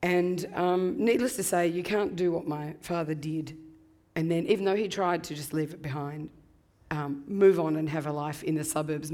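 Hoarse low-voiced woman in her fifties. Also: darkish tone. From Australia. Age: 50s. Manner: reflective, frequent ums. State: hoarse from laryngitis. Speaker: guest author. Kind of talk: conversational interview.

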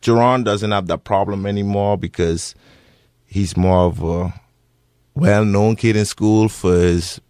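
Bahamian man, thirties, low voice, halting earnest speech, dry sound. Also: fairly even intonation, darkish tone, dry recording. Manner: hesitant, many pauses and restarts. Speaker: interviewee, parent. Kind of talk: earnest interview answers.

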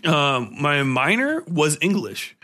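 A man's voice: fairly high pitch, very animated, slow, pushed and bright. A man hosting a casual podcast, talking in a blunt, rambling, profane way. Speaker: podcast host.

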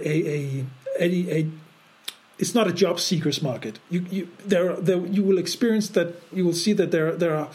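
Halting Danish-born man, podcast co-host. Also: high-pitched, darkish tone. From Denmark. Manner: halting, many repeated words and restarts. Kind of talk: casual podcast chat.

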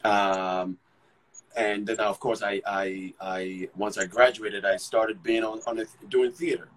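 A man from Ghana, an actor, talking about his career in a relaxed interview, often restarting and repeating words as he thinks. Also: on the low side, unhurried, poor audio. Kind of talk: casual conversational storytelling.

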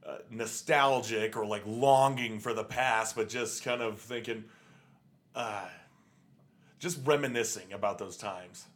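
A man's voice: lowish voice, unhurried, a bit bright. A man co-hosting a music podcast, talking in a rambling, hesitant way with many fillers and restarts. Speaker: podcast co-host. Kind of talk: casual chat about punk music. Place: Salt Lake City, USA.

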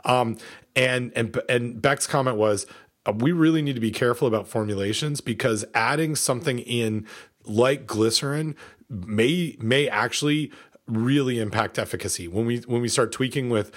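A middle-aged man co-hosting a podcast, chatting casually with many fillers, pauses and restarts. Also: medium pitch. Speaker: podcast co-host.

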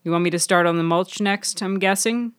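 A woman's voice: lowish voice, brisk, smooth, no room sound, close to an everyday voice. A woman voicing a mild, earnest male shop worker with a dry, understated, teasing way of speaking in an audio story.

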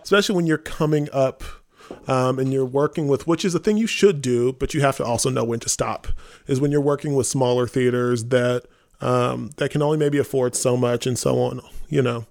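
A man's voice: medium pitch, fairly even intonation, a little fast, gravelly, darkish tone, dry recording, studio quality. A man chatting casually and anecdotally on a podcast about his working life. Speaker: theatre company podcast speaker, performer.

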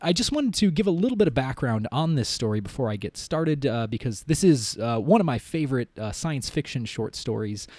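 A man's voice: medium pitch, sing-song, brisk, few pauses, a little mellow, no room sound. Man hosting and narrating, dry close sound. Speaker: podcast host and story narrator. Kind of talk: podcast introduction and audio drama narration.

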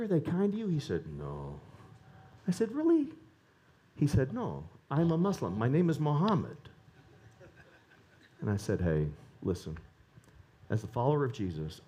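A man preaching a sermon, animated and rising to emphatic peaks. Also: a little high, husky.

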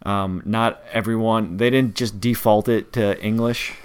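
Smooth-voiced man with a slight Wisconsin accent. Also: fairly low pitch, fairly even intonation. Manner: conversational, halting with restarts. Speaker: podcast co-host. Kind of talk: casual chat.

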